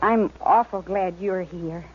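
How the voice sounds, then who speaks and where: An actress playing a plucky but anxious young settler's daughter in a 1950s radio western. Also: female voice, lowish voice, slow pace. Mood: uneasy, trusting. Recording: noisy, dull vintage 1950s radio recording.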